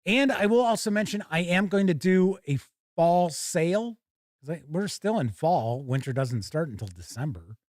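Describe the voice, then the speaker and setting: A man with an American accent and a fairly high-set voice hosts a casual live stream. He chats colloquially, leaning on his words for emphasis. The sound is close and dry, with very little room in it. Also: lively intonation, normal speed, a little mellow, no echo. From United States. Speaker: YouTube live stream host.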